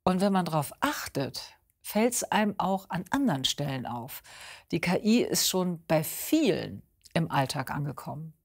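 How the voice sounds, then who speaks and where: A woman's voice: low-pitched, sing-song, measured pace, slightly rough. A woman presenting on television, addressing viewers in a conversational, scripted style.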